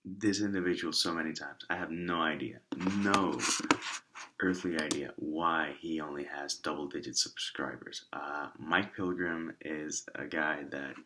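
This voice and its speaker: A man with a raspy voice and a lingering cough, casually rambling through shoutouts with many restarts and asides. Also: extremely low pitch, fairly even intonation, a little slow, a little hiss or hum. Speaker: author and vlogger.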